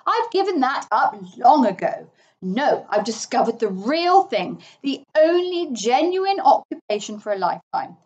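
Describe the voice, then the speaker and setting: A woman's voice: high voice, very animated, measured pace, darkish tone, dull audio, pitched above the performer's own voice. A woman voicing a boastful, impulsive male toad in a children's story, gushing and exclaiming grandly.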